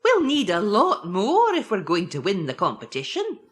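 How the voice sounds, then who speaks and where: An actress playing an excitable, kindly village postmistress, chatty and coaxing, in a children's audiobook. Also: female voice, mid-range voice, moderate pace. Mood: excited.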